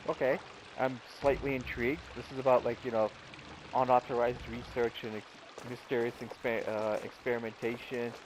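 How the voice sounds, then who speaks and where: A man comments casually over a game he is playing. His mid-pitched voice is halting, with clear watery ambience behind it. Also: flat delivery, background noise. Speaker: game streamer. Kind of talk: casual off-the-cuff playthrough commentary.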